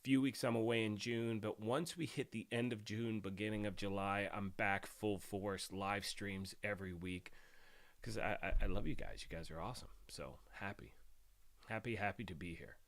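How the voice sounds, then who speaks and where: A man hosting a gaming live stream, talking casually and greeting viewers by name, a bit raspy while getting over a cold. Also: fairly low pitch, normal speed. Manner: chatty, greets viewers by name, rambling restarts.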